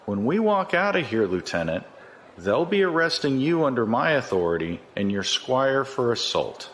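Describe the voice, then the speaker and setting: An actor in a science fiction audio drama playing a cocky, folksy, corrupt security chief who talks in a chatty, colloquial way. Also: male voice, mid-range voice, sing-song, normal speed, fairly bright tone, slight background noise. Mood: smug, threatening.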